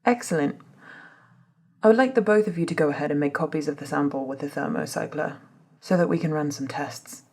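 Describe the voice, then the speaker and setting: A woman playing a composed, authoritative female doctor in a soft-spoken ASMR drama, speaking in a measured, formal, husky way. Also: sits low. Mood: composed, businesslike. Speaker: voice actor in scripted ASMR roleplay.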